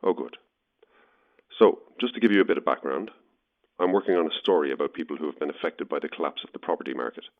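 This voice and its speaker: An actor playing a probing, awkwardly blunt Irish newspaper journalist, heard through a telephone filter in an audio drama. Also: male voice, rumbling low, animated, fast, full character voice. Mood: businesslike. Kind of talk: naturalistic audio horror drama. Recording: thin, narrow telephone line.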